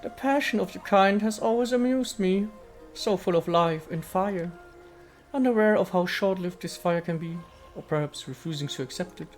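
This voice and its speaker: Man voicing an ancient, poised goddess spirit, pitched higher. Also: normal speed, soft background music, close to an everyday voice. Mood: serene, aloof. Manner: poised, formal, poetic. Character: ancient female statue goddess spirit, serene, aloof, contemplative. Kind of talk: dramatic reading of video game dialogue.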